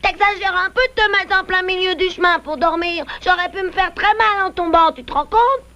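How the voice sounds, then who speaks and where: A woman's voice: very high-pitched, fairly quick, noisy background. A woman voicing a young, grumbly but loyal bear cub in a cartoon, blustery and childlike. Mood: grumbling. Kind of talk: French-language cartoon dubbing. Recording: dull, muffled old VHS soundtrack.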